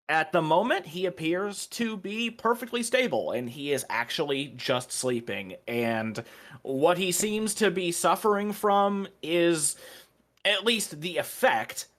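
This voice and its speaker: Animated, higher-voiced male game master. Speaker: dungeon master of a tabletop game. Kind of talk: casual improvised game narration and chat.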